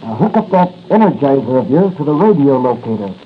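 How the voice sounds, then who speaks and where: An actor playing a plucky masked crime-fighter in 1940s radio style, urgent and commanding. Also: male voice, mid-range voice, very animated, a little fast, close to an everyday voice. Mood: brisk command. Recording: muffled, noisy 1940s radio broadcast.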